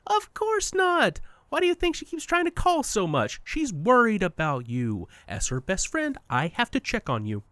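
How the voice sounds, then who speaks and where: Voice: medium pitch, huge pitch swings, moderate pace, hardly any pauses, a bit bright, dry recording, studio quality. An actor playing a smug, playful, unreal best friend in an audio drama, teasing and condescending in a sing-song way. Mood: amused, patronizing. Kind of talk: audio fiction podcast acting.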